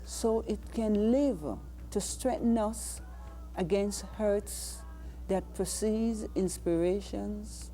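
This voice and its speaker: A woman with a Jamaican accent reciting spoken-word poetry in a deliberate, dramatic way. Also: mid-range voice, warm tone. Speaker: poet.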